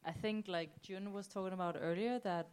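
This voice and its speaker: A woman's voice: medium pitch, steady tone, moderate pace, darkish tone, clear, resonant tone. A woman from Denmark, a chef on a panel, explains things in a friendly way. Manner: friendly, explaining with small laughs. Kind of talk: casual panel answer.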